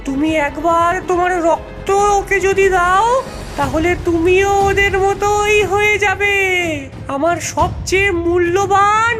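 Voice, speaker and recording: A woman voicing a gloating, sinisterly motherly, bloodthirsty witch in a horror cartoon, with theatrical, drawn-out menace. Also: very high voice, fairly even intonation, music in the background.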